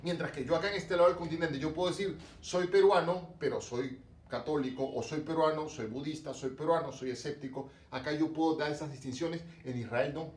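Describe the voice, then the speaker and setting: A man's voice: on the high side, a little fast, a little mellow. Peruvian man teaching, roomy and distant. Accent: Peruvian Spanish, Lima. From Peru, coast (Lima). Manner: explanatory, rhetorical questions, frequent fillers. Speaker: religion teacher. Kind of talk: online class lecture to students.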